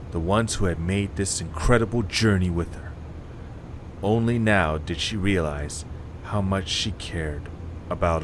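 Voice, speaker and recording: A man narrating an audio drama with expressive storytelling. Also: on the low side, a little hiss or hum.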